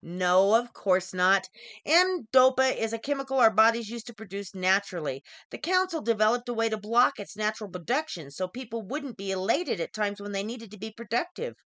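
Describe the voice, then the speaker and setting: A woman's voice: mid-range voice, lively intonation, moderate pace, a bit bright, dry recording, lightly acted. A woman voicing a stern, scolding mother who lectures and explains in a story reading. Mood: lecturing. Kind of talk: acted dialogue in short story reading.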